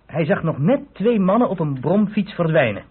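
A man's voice: a little high, very animated, moderate pace, breathy edge, exaggerated voice. A man acting a police inspector in a radio play, explaining patiently like a storyteller. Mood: explanatory. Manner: explanatory storyteller, chuckling asides. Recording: muffled phone-like old radio recording.

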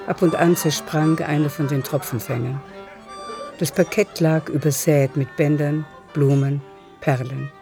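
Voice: medium pitch, gravelly. A narrator reading a literary radio play in scripted storytelling style. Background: music.

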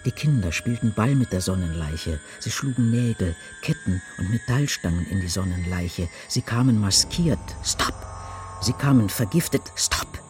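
A man performing a grim prophet-like narrator in a radio poem drama. The voice is low and declaims short, incantatory phrases of apocalyptic vision. Music plays prominently underneath. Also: animated, moderate pace, close to an everyday voice. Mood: intense, stark. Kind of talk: dramatic poetry recitation in a radio play.

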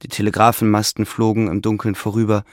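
A man's voice: on the low side, monotone, quick pace, no room sound, clean studio sound. A man reading literary narration as the narrator of a drama, with ambient sound behind him. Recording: clear background ambience under voice.

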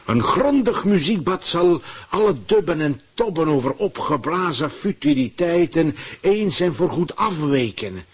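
An actor playing a pompous, power-hungry government ruler in a radio play, declaiming with a husky voice. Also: male voice, fairly high pitch, sing-song, unhurried, heavily acted. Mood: grandiose.

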